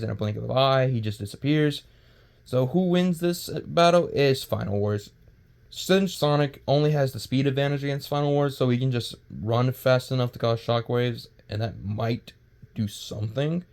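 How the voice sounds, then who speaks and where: A man hosts his own video with loose, unscripted commentary at a middling pitch. He talks in a halting, rambling way, tripping over words and restarting his sentences. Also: darkish tone. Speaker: video creator and host. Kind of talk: casual unscripted power-scaling commentary.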